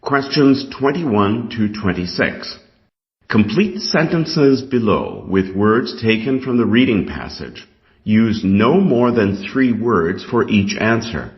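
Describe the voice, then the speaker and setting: Slow Canadian man reading aloud, dull recording. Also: on the low side, lively intonation. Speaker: reader of an English exam reading passage. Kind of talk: scripted read-aloud of test text. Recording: dull, lo-fi sound.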